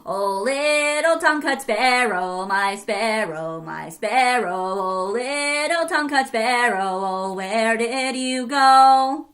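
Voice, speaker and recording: A woman storyteller voicing a greedy, jealous old grandmother who is pushy and loud. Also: fairly high pitch, animated, slow pace, hardly any pauses, faint music behind. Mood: singing. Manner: loud, impatient and demanding.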